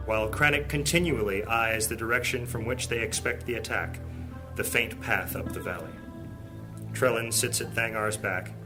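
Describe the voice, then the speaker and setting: A man narrating a fantasy audio drama in a dramatic scripted style. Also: lowish voice. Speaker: storyteller narrator. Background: music.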